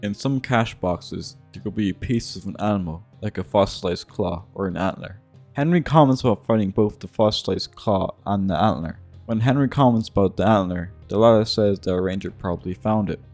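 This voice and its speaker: A man's voice: fairly low pitch, fairly quick, a little mellow. A man narrating casual, scripted video game commentary in an offhand, irreverent way. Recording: music behind the voice. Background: guitar music.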